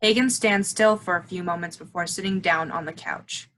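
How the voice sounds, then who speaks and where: Bright-voiced woman reading stage directions. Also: fairly low pitch, normal speed, a little hiss or hum. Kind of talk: scripted narration of stage directions.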